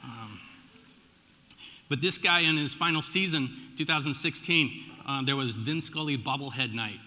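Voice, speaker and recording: A middle-aged American man speaks as a pastor in a big, reverberant hall. His voice is very bright and forceful, at an average male pitch. He tells stories informally, punching some phrases and letting asides drop away. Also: moderate pace. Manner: informal, animated storytelling with emphatic swings and dropped asides. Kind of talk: conversational sermon with personal storytelling. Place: large church room, addressing a congregation. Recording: echoey hall with long reverb.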